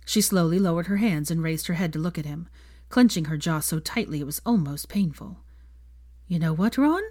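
Low-pitched woman narrating a story. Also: brisk, dark tone, dry recording, clean studio sound. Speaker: audiobook narrator, fan fiction reader.

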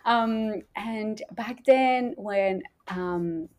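A woman's voice: medium pitch, very slow, a little mellow. A woman in her mid-thirties from Colombia, with a Colombian accent, telling her personal story in a podcast conversation, reflective and searching for words. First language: Spanish. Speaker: podcast guest, holistic coach.

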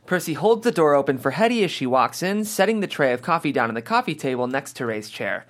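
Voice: medium pitch, very animated, quick pace. A narrator reading screenplay stage directions and scene headings aloud at a table read.

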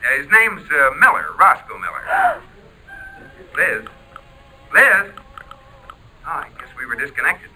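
An actor playing a mild, amiable husband in a 1940s radio comedy, hesitant and affable through a telephone filter. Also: male voice, a little high, huge pitch swings, unhurried, sharp tone, heavily acted. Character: mild, amiable husband phoning his wife. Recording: noisy old radio, telephone sound.

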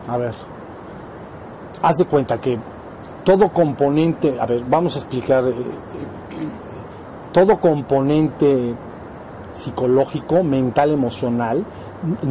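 A man's voice: medium pitch, mellow, slight background noise, low-quality recording. A man with a Mexican accent giving an informal spiritual lesson, explaining things and often checking that his students follow. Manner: explanatory, often checks understanding with short questions. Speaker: spiritual teacher.

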